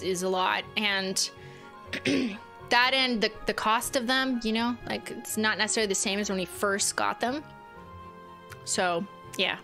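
Bright, high-voiced young woman livestreaming. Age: young adult. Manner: playful, teasing, laughs often. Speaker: livestream host. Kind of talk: casual livestream chat. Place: home streaming room, indoors. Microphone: studio mic on boom arm.

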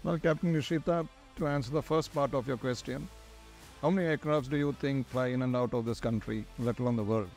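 A man's voice: mid-range voice, fairly quick, darkish tone. Middle-aged Indian man giving prepared answers. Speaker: guest, air traffic controller in charge. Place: large glass-walled airport tower room. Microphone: lapel. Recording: music under the voice. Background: background music.

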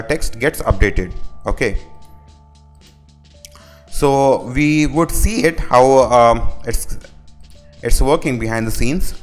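A man from India whose first language is Hindi, talking through a coding tutorial over his screen and filling his sentences with pauses and restarts. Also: medium pitch. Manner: halting, many "uh" fillers and restarts. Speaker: web developer.

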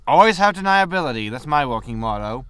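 A man's voice: mid-range voice, animated, a little fast, a bit bright, clean tone, no echo, close to an everyday voice. A man playing a greedy, breezy, disgraced space haulage captain in a sci-fi comedy audio drama, flippant and full of excuses. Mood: defensive, flippant. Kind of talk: science fiction comedy audio drama acting.

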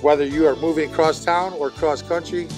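A man reading a commercial for his own business in a plain, steady read. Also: on the high side, fairly quick, over music. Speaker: advertiser.

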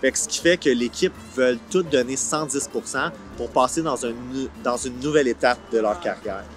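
A middle-pitched man in his forties with a Franco-Ontarian accent answers interview questions outdoors. He talks colloquially and drops in English words, with faint music under his voice. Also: moderate pace. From Ottawa, Canada. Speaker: series creator being interviewed. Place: outdoor park film set. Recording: soft music bed under the voice.

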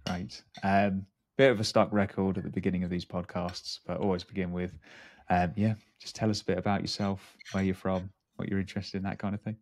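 A man's voice: sits low, little pitch movement, fairly quick, hardly any pauses, darkish tone, a little hiss or hum. A man hosting a podcast, introducing and interviewing a guest. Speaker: podcast host.

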